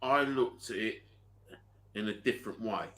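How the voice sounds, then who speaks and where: A man with an Essex English accent co-hosting a ghost hunting live stream, calmly arguing his point in casual chat. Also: on the low side, normal speed.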